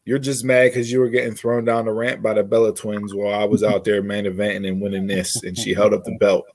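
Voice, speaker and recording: A man's voice: on the low side, level tone, brisk. A man co-hosting a podcast in casual conversation, giving emphatic opinions and repeating phrases to make his point. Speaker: podcast co-host. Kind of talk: casual wrestling predictions chat.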